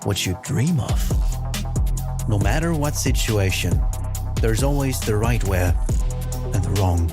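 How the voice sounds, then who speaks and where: Man, ad voice-over, low voice, very slow, lively pitch, loud music behind. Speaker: ad narrator. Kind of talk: scripted voice-over.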